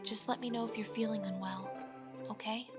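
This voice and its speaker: A woman playing a gentle, shy, bookish teenage girl, soft-spoken and hesitant, in a visual novel fan dub. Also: fairly high pitch, steady tone, a little fast, lightly acted. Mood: gentle, concerned. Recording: loud music behind the voice.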